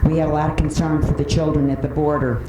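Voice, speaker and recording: A woman's voice: low voice, level tone, fast. Roomy, distant recording of an opinionated woman speaking. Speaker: public commenter at a county board meeting. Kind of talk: prepared public speech. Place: indoor public meeting room. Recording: roomy, noisy, distant microphone with clicks.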